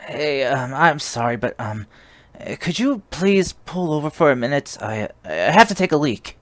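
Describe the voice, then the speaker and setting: A man's voice: on the high side, very animated, normal speed, dry recording. A man playing a polite, nervous teenage hitchhiker who hesitates and stammers in an audio drama. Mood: nervous, uneasy.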